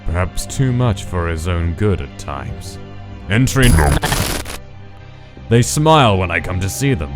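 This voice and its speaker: A man performs a cold, obsessive male scientist in a dramatic comic dub. The voice is very low and slow, clinical and deliberate, with prominent music behind it. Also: sing-song.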